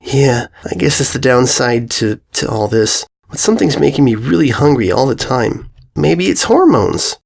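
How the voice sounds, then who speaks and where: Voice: medium pitch, big pitch swings, normal speed, gravelly, hardly any pauses. A narrator voicing a timid, worried little girl pony with a hesitant, stammering delivery. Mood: sheepish.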